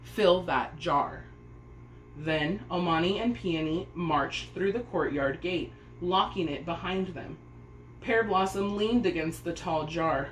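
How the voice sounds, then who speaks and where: Lady narrating a kids picture book, expressive, lowish voice, echoey room. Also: unhurried. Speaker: storybook reader. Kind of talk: expressive children's story narration. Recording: echoey room, faint background noise.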